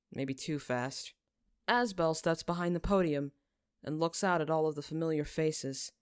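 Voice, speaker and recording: A woman's voice: sits low, darkish tone, clean tone, no room sound, studio quality. A woman reading scripted story narration, in the manner of an audiobook. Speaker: fan fiction narrator.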